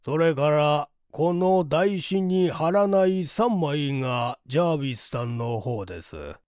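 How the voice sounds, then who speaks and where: A man's voice: a little high, expressive, unhurried, a little mellow, no room sound, clean studio sound. A man voicing a dutiful male laboratory assistant in a mystery audiobook, polite and deferential.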